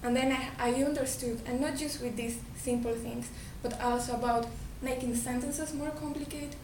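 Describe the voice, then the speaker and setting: A woman's voice: high-pitched, fairly even intonation, normal speed. A woman from Colombia with a Colombian Spanish accent, a native Spanish speaker giving an earnest talk to an audience and often restarting her words. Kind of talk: presentation to an audience. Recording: echoey hall, distant mic, background noise.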